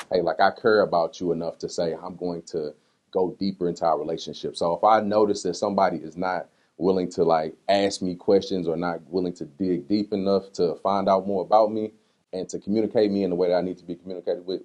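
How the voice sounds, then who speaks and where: A man talking casually and candidly as an interview guest, in slangy conversational speech, acting out what people said as he tells stories. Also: sits low. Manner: slangy, conversational, animated retelling with acted-out quotes. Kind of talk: casual candid interview talk answering personal questions.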